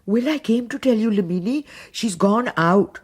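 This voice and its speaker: Blunt old servant woman, unbothered, lively voice, played by a woman; radio play. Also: a little high, moderate pace, a little hiss or hum, close to an everyday voice. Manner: blunt and matter-of-fact. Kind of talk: radio drama acting.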